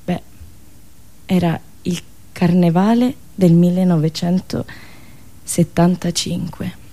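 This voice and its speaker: A woman's voice: on the low side, fairly even intonation, takes it slowly, dark tone. A woman with a central Italian accent telling a satirical story as a theatrical, ironic radio monologue. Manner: theatrical, ironic, with dialect asides.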